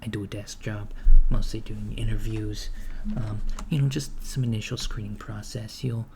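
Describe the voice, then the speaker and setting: A man in an ASMR roleplay playing a mild-mannered corporate recruiter of henchmen, soft-spoken, hesitant and politely matter-of-fact. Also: on the low side, little pitch movement, moderate pace, vocal fry, noisy background. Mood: polite, businesslike. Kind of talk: ASMR roleplay, job interview.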